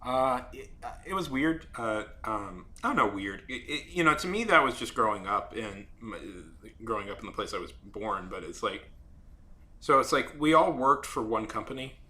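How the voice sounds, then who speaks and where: A young man chatting casually on a live stream while drawing, rambling and halting with many fillers and restarts. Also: mid-range voice, lively intonation, bright, forceful tone. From Saudi Arabia.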